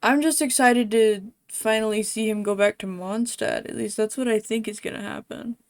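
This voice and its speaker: A woman's voice: on the high side, steady tone, moderate pace, dry recording, studio quality. Casual woman streaming a game. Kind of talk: casual livestream chat while playing a game.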